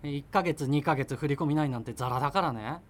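A man's voice: fairly high pitch, fairly quick, close to an everyday voice. An actor playing an evasive, argumentative boyfriend in his thirties in naturalistic radio drama dialogue, rambling as he justifies himself. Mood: defensive. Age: 30s. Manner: defensive, rambling self-justification. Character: evasive, argumentative thirty-year-old freelancer boyfriend living with parents.

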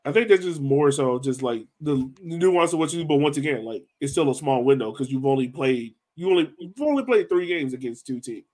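A man with a medium-pitched voice hosts a sports podcast. He talks informally, as in a relaxed chat about the team. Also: brisk, hardly any pauses. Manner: informal, conversational. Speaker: podcast host. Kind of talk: casual sports discussion.

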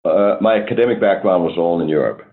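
A man from Denmark, a company executive answering interview questions in a measured, hesitant way with many ums. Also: low voice. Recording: tinny phone-like line.

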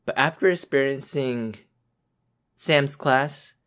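A man's voice: mid-range voice, slow pace, fairly bright tone, clear, resonant tone. A young man from the United States co-hosting a podcast, telling a story in a casual, rambling way with many fillers and restarts. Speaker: podcast co-host. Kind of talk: casual chat.

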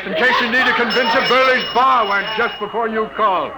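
A man playing an excitable, gruff veteran newspaper editor in a vintage radio drama, barking and wisecracking. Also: very high-pitched, a little fast, a loud crowd. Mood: bossy, urgent. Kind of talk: 1940s-50s radio drama acting. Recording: noisy old radio broadcast recording.

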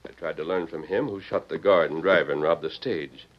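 An actor playing a bold, righteous cowboy hero with firm, measured authority in an old radio western. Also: male voice, low-pitched. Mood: calm, guarded. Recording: muffled, noisy vintage radio recording.

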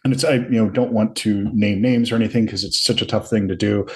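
Podcast host guy, lowish voice, calm and thoughtful. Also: fairly quick. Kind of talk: casual interview chat.

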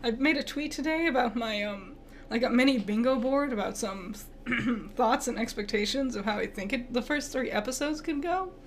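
A young woman with a slightly high voice co-hosts a loose, casual podcast. She swears freely and breaks into laughter often. Faint background noise sits under the recording. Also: moderate pace. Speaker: podcast co-host.

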